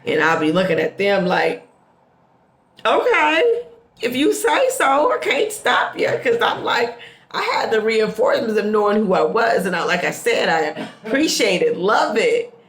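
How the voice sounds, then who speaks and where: A woman's voice: medium pitch, measured pace. Middle-aged American woman, emphatic casual storyteller, mid-range voice, roomy echo. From Midwestern United States. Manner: expressive and emphatic, with repeated phrases. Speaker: host. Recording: roomy, echoing sound.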